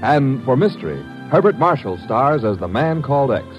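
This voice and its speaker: Casting: male American announcer for golden-age radio, formal and polished with lively intonation, faint music behind, dull old recording. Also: medium pitch, normal speed. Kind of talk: 1950s network radio announcing. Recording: dull, band-limited vintage radio recording.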